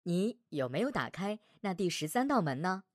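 A man's voice: high-pitched, very animated, normal speed, no room sound, clean studio sound, voice pushed up. Man voicing a solemn, lilting heavenly mother. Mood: questioning, suspicious. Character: gentle but stern Virgin Mary, heavenly foster mother.

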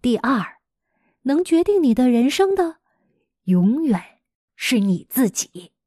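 High-voiced woman telling stories to children. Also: big pitch swings, slow, dark tone, no echo, clean studio sound. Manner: conversational, dramatic emphasis, addresses listeners directly. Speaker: host and teacher of a children's storytelling podcast. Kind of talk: expressive scripted storytelling for children.

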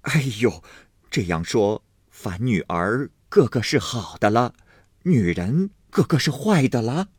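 A man's voice: medium pitch, very animated, slow, darkish tone, breathy edge, dry recording, clean studio sound, full character voice. A man voicing an old woman gatekeeper who is amused and teasing. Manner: chuckling, teasing.

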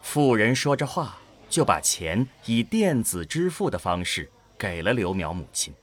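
Expressive male storyteller with lively intonation. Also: fairly low pitch, unhurried, husky. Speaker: narrator. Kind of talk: scripted audio drama narration.

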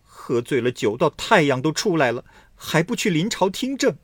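A man voicing a jealous, sharp-tongued young imperial consort who scolds shrilly. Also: mid-range voice, wildly swooping pitch, a little slow, slightly rough, dry recording, clean studio sound, pitched above the performer's own voice, heavily acted. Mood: furious.

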